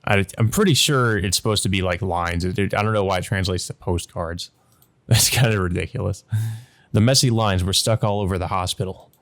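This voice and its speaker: A man's voice: low voice, brisk, a bit bright. A man narrating a visual novel as a let's play host, reading aloud and adding hesitant commentary of his own.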